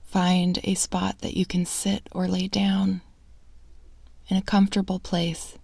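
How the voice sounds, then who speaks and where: A woman speaks as a meditation guide in a hushed, breathy voice that sits slightly low. Her delivery is very even and slow, with long pauses between soothing phrases. A creaky fry runs through her words. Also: dry recording.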